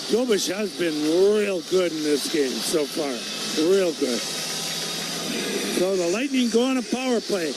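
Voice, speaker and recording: High-voiced folksy male hockey color commentator. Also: animated, unhurried. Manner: colloquial, folksy, partisan fan-like reactions. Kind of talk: live radio hockey analysis. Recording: very noisy, hissy live radio broadcast.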